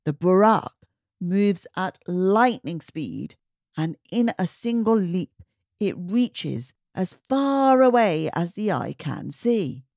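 A woman reading a children's story aloud in an expressive, emphatic narrating style. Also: fairly low pitch, slow pace, no echo, clean studio sound. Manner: animated, emphatic, clearly paced delivery. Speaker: children's story reader and host.

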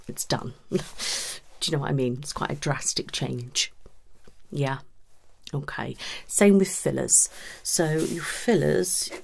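British woman doing asmr consultation roleplay, deep-ish for a woman, lots of vocal fry, soft and reassuring. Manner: reassuring, unhurried, conversational questioning. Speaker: ASMR performer playing a cosmetic surgery consultant. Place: small indoor room set up as a clinic.

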